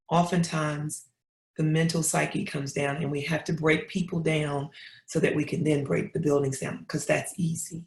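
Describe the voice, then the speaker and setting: Southern lady telling stories like she's preaching, echoey room. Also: low voice. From Knoxville, Tennessee. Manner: preacherly, repetitive emphatic storytelling. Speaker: guest speaker. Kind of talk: storytelling talk. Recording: roomy echo.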